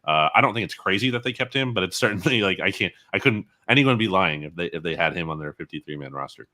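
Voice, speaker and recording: A man's voice: low-pitched, expressive, fast, pushed and bright. A man giving conversational, opinionated sports commentary as an interview guest, often qualifying his points. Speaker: guest football analyst.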